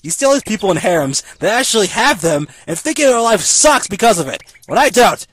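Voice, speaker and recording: Man acting a sarcastic schoolboy, bitter, exasperated, high voice, very animated, anime parody cartoon. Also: a little fast, husky, heavily acted. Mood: sullen narrating. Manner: ranting, sarcastic. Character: bitter, foul-mouthed, easily exasperated teenage schoolboy. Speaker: narrator and lead character. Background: background music.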